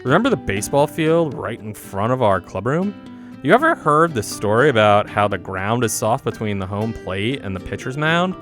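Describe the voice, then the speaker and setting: A man plays an eager, mischievous schoolgirl in a visual novel. The lines are teasing and lively, lifted in pitch, over soft background music. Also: normal speed, close to an everyday voice.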